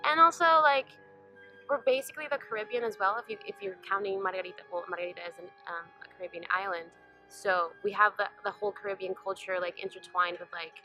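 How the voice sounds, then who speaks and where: A woman's voice: mid-range voice, fast talker, sharp tone, soft background music, low-quality recording. A young woman from Venezuela, a college senior, chatting easily in a documentary interview and leaning on "like" as she talks. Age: young adult. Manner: chatty, many "like" fillers.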